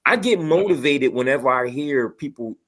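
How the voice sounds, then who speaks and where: A man's voice: medium pitch, expressive, moderate pace. A man chatting casually in an emphatic, motivational way, peppering his talk with "man" and "you know". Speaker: online radio show panelist. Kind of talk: casual group chat.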